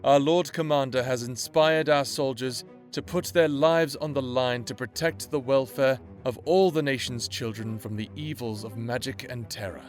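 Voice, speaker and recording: A man's voice: mid-range voice, moderate pace, hardly any pauses, soft background music, lightly acted. Man playing a pompous, self-righteous general. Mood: grand, solemn. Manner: pompous, formal, declamatory. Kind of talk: formal televised address in an audio drama.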